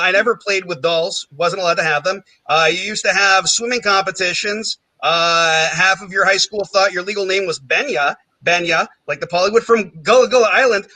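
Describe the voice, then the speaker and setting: A high, bright man's voice runs a comedy game show with jokey, self-mocking patter, tossing off asides and "um"s as he goes. The sound is close and dry, with no room around it. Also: no echo, rough recording. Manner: quick, jokey, self-mocking banter with many fillers. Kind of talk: hosting a live comedy game show stream.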